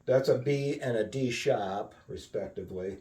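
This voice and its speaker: A man giving an informal guitar lesson, thinking aloud in a musing, halting way and often checking in with a questioning tag. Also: mid-range voice. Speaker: guitar teacher. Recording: roomy, distant mic, loud guitar. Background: acoustic or electric guitar playing.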